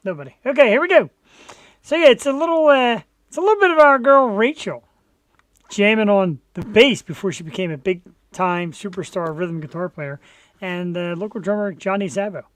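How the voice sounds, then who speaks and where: A man co-hosting a casual live talk show, chatty and quick to laugh. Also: high voice, a bit bright, dry recording. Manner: chatty, laughs often, hypes the guest. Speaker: co-host.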